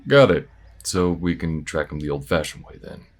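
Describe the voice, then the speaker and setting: A man playing a laconic, tactical alien bounty hunter in an audio roleplay, with a low gruff voice and a measured, folksy way of thinking aloud. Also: animated, full character voice. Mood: low-key, practical.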